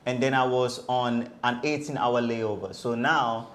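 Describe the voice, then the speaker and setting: A man's voice: mid-range voice, fairly even intonation, a little slow, fairly bright tone. A man who creates travel content, telling stories casually as a guest in a panel chat.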